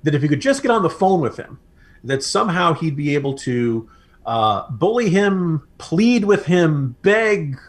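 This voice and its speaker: A man's voice: fairly high pitch, very animated, a little slow. An American man co-hosting a political podcast, giving opinionated commentary in an emphatic, sardonic way with mocking asides.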